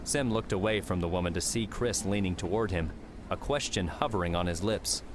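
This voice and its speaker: A man narrates an audiobook in a low voice. His delivery is scripted, measured storytelling, with noticeable ambient noise running behind it.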